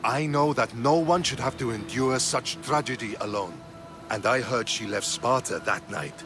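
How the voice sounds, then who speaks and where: An actor playing an earnest, principled Spartan soldier in a video game, speaking in a measured, dramatic way. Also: male voice, mid-range voice, natural voice. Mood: encouraging. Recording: music behind the voice.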